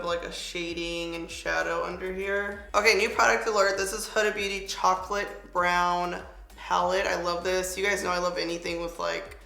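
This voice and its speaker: Bright, raspy-edged young woman vlogger. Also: lowish voice. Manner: chatty, lots of "like" fillers, upbeat product asides. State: slightly raspy voice. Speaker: beauty vlogger. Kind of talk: casual makeup tutorial chat. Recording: echoey room with faint music.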